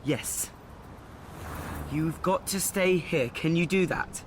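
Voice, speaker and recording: A man playing an awkward, self-absorbed teenage boy in a radio play, speaking in a halting, confessional way. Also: on the high side, slight background noise. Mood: coaxing. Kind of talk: first-person radio play monologue and dialogue.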